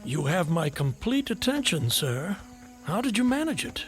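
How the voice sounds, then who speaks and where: An actor in a radio drama playing a courteous, inquisitive and enigmatic gentleman traveller with a formal, probing manner. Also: sits high, big pitch swings, normal speed, faint music behind. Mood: attentive, probing.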